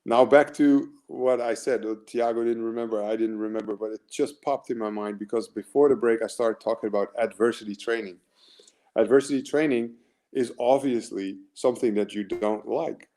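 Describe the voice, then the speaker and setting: A man's voice: medium pitch, fairly even intonation, moderate pace, mellow. A man in his forties with an American accent, giving an earnest, rambling motivational talk as a mental performance coach, full of fillers and restarts.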